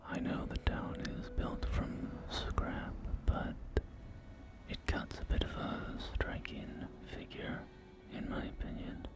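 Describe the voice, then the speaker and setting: A man playing a folksy post-apocalyptic real estate agent in a whispered ASMR roleplay, with gentle, hesitant, reassuring sales patter. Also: takes it slowly, lightly acted. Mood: reassuring, informative. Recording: close whisper over soft music.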